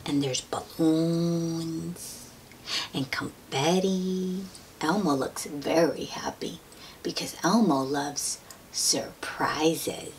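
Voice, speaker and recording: A woman reading a picture book aloud to young children, gently drawing out her words and asking them questions in an expressive way. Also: deep voice, drawn-out words. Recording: steady noise behind the voice.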